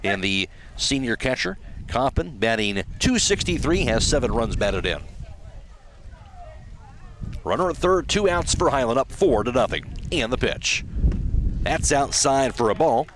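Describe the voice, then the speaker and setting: An American man calls a baseball game live for radio in a mid-pitched voice. He works as the play-by-play announcer, and clear outdoor ballpark noise sits behind him. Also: sing-song, a little slow, pauses often, fairly bright tone.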